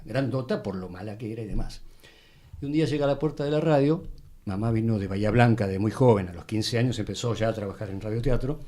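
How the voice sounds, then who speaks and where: An Argentine man telling anecdotes casually as an interview guest, in a colloquial, expressive way that acts out dialogue. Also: medium pitch.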